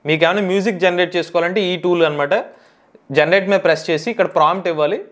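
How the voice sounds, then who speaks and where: A man hosts a tech tutorial, explaining software step by step and repeating key phrases. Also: a little high.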